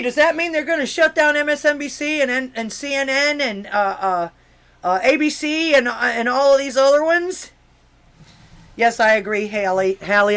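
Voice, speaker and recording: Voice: mid-range voice, fairly bright tone, smooth. A talk-show host from Miami, Florida, giving political commentary between news excerpts and listener comments, with sarcastic rhetorical questions and frequent restarts. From Miami, Florida, USA. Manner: stumbling restarts and many fillers. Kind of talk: political commentary, reading news and chat messages aloud.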